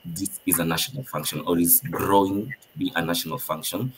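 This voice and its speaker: A man's voice: low voice, fairly even intonation, brisk, breathy edge, poor audio. A man with a Nairobi Kenyan accent, speaking as a panelist over a video call, thoughtful with many ums. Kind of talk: panel discussion.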